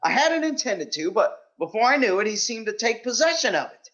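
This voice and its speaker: A man's voice: high-pitched, sing-song, brisk, a bit bright, lo-fi sound. A man playing a mild, bashful biology teacher in a radio sitcom, hesitant, naive and polite. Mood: sheepish. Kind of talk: 1940s radio sitcom acting on stage.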